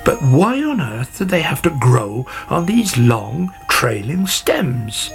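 A man's voice: mid-range voice, gravelly, a bit bright, full character voice. A man voicing an opinionated, grumbling old grandfather gardener in a storybook tale, muttering and exclaiming. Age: older. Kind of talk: storybook character voice.

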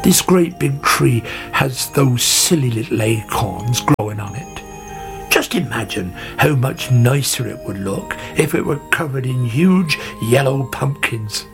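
A man's voice: mid-range voice, animated, slow, creaky, music in the background. A man voicing an opinionated, grumbly old grandfather in a children's storybook, muttering and exclaiming in old-fashioned phrases. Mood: grumbling.

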